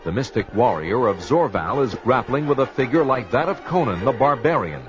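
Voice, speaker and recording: Casting: man as dramatic adventure narrator, portentous and emphatic, lively swings in pitch, dull recording over music. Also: normal speed, lightly acted. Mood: grandiose. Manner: grandiose, emphatic. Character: grandiose male storyteller narrating barbarian adventure.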